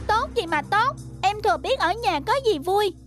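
A woman voicing an eager, stubborn preschool boy in an animated family story, childlike and insistent. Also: very high-pitched, normal speed. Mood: stubborn complaining. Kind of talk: animated family drama acting. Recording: music under the voice.

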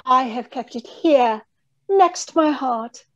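A woman's voice: high-pitched, animated, takes it slowly. A woman playing a fretful, romantic, melodramatic heroine with theatrical delivery in an old-style radio play. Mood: adoring. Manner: theatrical, fluttery. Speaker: actor. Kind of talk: 1930s radio acting. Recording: dull lo-fi audio.

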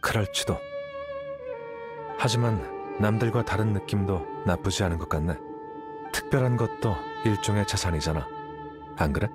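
Man as coolly self-assured young male scholar, deep voice, detached, measured, rational, anime game dialogue. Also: over loud music, close to an everyday voice. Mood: detached, blunt. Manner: measured, detached, matter-of-fact.

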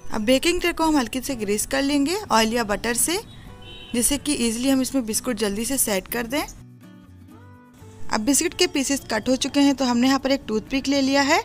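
A woman narrating a step-by-step recipe tutorial in an instructive, explanatory manner. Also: high voice. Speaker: home cooking host. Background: music.

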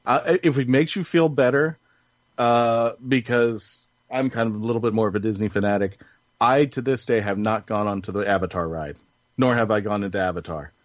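A man's voice: lowish voice, moderate pace, no echo. A man hosting a casual panel podcast, chatting in a jokey storytelling manner. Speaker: podcast host. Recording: dull, lo-fi audio.

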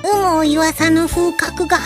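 A woman's voice: extremely high pitch, fairly even intonation, moderate pace, music in the background. A woman voicing a small, earnest talking beast familiar who speaks politely and formally to its master. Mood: respectful. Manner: polite, formal servant's speech.

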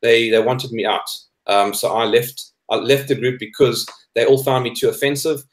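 A man's voice: medium pitch, little pitch movement, moderate pace. A South African man in his thirties giving opinions in a debate chat, emphatic and repeating phrases for stress. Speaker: guest, cartoonist. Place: indoor room, video call. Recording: echoey room over video call.

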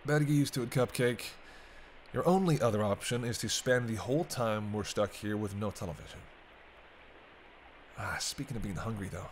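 A man's voice: on the low side, normal speed, close to an everyday voice. A man playing a sarcastic, teasing captive vampire in casual, sweary banter for an intimate roleplay audio drama. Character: teasing, sardonic male vampire held captive by a hunter. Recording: clear background ambience noise.

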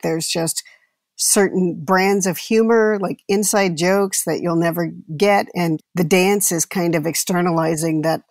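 A woman's voice: low-pitched, vocal fry, no echo. A woman speaking as an actor guest in reflective, casual conversation.

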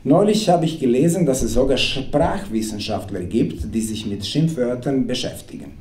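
A native Serbian man in his thirties reads his own comic prose into a stand mic, with wry, punchy timing and a lively, swooping pitch. The sound is roomy and reverberant, as in a small club. There is clear audience noise behind him. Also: moderate pace. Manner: wry, playful storytelling with punchy delivery. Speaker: author reading from his book. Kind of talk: comedic live reading. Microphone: stand mic at a table. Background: audience laughter.

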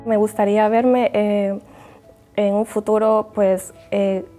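A woman's voice: medium pitch, level tone, slow, dark tone, faint music behind. A woman in her thirties from El Salvador, speaking earnestly and thoughtfully about her experience as a migrant. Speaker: interviewee. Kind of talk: reflective testimony. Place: bright indoor hall.

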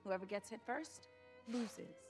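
A woman's voice: a little high, animated, measured pace, a little mellow, soft background music. A woman playing a confident, teasing teenage girl in a video game, with casual, natural, bantering delivery. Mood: playful, teasing.

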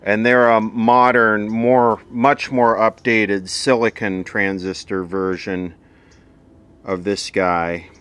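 A man's voice: fairly low pitch, level tone, slow, a bit bright, clear, resonant tone. A man giving casual, off-the-cuff commentary as he looks over vintage electronics, with dry, sardonic asides. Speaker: vintage electronics repair host.

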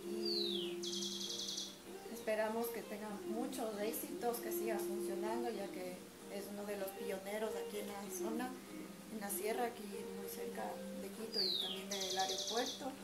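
A woman's voice: mid-range voice, steady tone, normal speed. A young adult woman, politely conducting a student interview. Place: covered brick patio at a winery. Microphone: camera a few metres away. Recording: echoey, distant camera mic, loud ambient noise.

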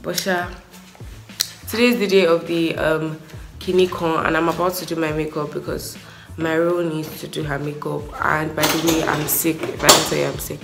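A woman's voice: deep voice, little pitch movement, moderate pace, gravelly, music in the background. A young woman with a Nigerian accent chatting casually to a phone held at arm's length, as a vlogger. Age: young adult. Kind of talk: casual chat to camera.

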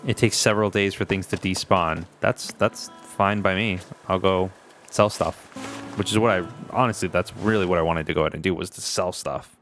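A man's voice: low-pitched, steady tone, normal speed, fairly bright tone, soft background music. A man from Puerto Rico hosting a let's play, chatting casually with viewers and laughing often as he plays, recorded on a desk mic in his home room. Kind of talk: casual gaming commentary. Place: home gaming room, indoors. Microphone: desk microphone with webcam.

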